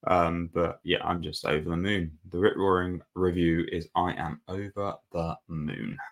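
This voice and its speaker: Brit guy co-hosting a footy podcast, deep voice, lots of ums and restarts. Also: a little slow, gravelly. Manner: relaxed, rambling with fillers and restarts. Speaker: podcast co-host. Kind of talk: casual football chat.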